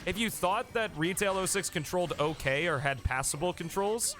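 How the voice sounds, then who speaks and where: A man's voice: high voice, expressive, normal speed, fairly bright tone, faint music behind. A man live-streaming a game, chatting casually and thanking viewers by name, a bit sniffly from a coming cold.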